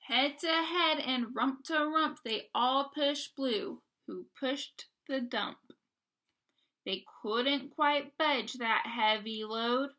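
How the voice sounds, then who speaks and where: A woman reading a picture book aloud to young children, expressively, pausing to ask simple questions and explain. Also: high voice, pushed and bright. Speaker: read-aloud storyteller.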